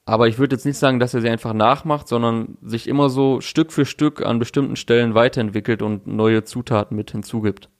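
A man's voice: mid-range voice, fairly even intonation, fairly quick, few pauses, no room sound, clean studio sound. A young man in casual chat, talking through a music release with fillers and restarts. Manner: thoughtful, many fillers and restarts. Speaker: podcast co-host discussing music.

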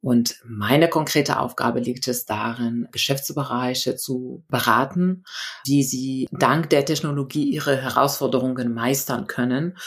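Woman from Morocco, remote interview guest, animated, halting with fillers. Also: extremely low pitch. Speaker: guest author. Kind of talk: interview answers. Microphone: remote connection.

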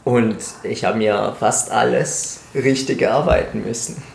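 A man in his mid twenties from Italy co-hosts a casual podcast. He talks slowly, with a gravelly, creaky edge to his voice. The recording is roomy, with some echo. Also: fairly low pitch, steady tone. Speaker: podcast co-host, calisthenics coach. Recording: roomy echo, faint background noise.

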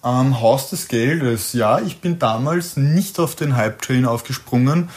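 A man's voice: medium pitch, unhurried, a little mellow, a little hiss or hum. A man with an Austrian German accent co-hosting an unscripted chat about films and series, explaining his opinions in an informal way. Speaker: podcast co-host.

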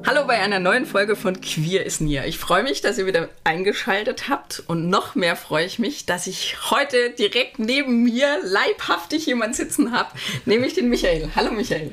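A woman's voice: medium pitch, expressive, normal speed, hardly any pauses, a bit bright. A woman hosting a podcast, asking questions in a playful, casual tone. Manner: playful, laughs easily. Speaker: podcast host. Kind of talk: casual interview.

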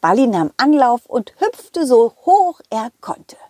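A woman storyteller speaks to children at a very slow pace. Her voice is rather high and recorded very dry, with expressive emphasis as she tells the tale in the first person. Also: dry recording. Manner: animated, expressive emphasis, addresses children directly.

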